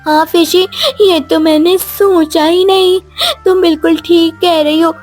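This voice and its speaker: A timid cartoon little girl, played by a woman, with a very high, slow voice. She sounds innocent and pleading, over faint music. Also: little pitch movement, darkish tone. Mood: earnest, worried. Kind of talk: Hindi animated children's story acting.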